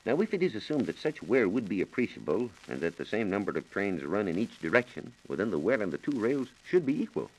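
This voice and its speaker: Man, low voice, highly varied intonation, precise formal explanations, 1940s radio science reporter, vintage broadcast recording. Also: sing-song, fast, hardly any pauses, darkish tone, a little hiss or hum, poor audio. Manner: precise, methodical, formal phrasing.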